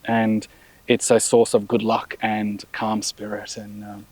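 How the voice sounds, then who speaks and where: A middle-aged man, a brand founder, chatting in a relaxed interview with many restarts and fillers. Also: fairly low pitch, slow pace, no echo. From Australia. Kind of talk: casual interview.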